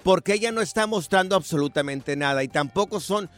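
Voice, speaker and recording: Animated Mexican radio host, high voice. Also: male voice, brisk, faint music behind. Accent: Mexican Spanish. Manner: animated, playful storytelling. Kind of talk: casual radio banter.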